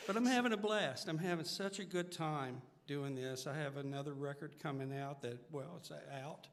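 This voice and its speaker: Mature man, musician guest, fairly high voice, casual interview talk, long hall reverb. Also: normal speed. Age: middle-aged or older. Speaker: singer-songwriter being interviewed. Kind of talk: casual live interview chat.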